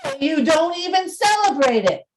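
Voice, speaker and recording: A woman playing a cheerful, warm-hearted young nephew in a radio play, hearty and earnest. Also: high voice, very animated, moderate pace, background noise, pitched above the performer's own voice.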